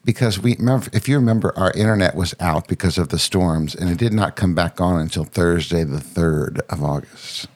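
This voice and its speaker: Husky, congested low-voiced man. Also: a little fast, hardly any pauses, a little mellow. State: congested sore throat from a sinus cold. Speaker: podcast host. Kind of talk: casual chat with a partner.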